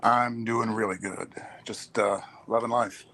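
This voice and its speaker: A man speaking as a guest on a casual talk show, soft-spoken and relaxed. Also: on the low side, unhurried, slight background noise. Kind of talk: casual chat.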